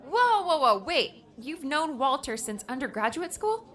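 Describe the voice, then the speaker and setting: A woman playing a high-voiced, questioning young heroine. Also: sing-song, measured pace, full character voice. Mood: confused, disbelieving. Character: questioning young woman adventurer, wary and bewildered. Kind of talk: dramatized audiobook dialogue. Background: soft background music.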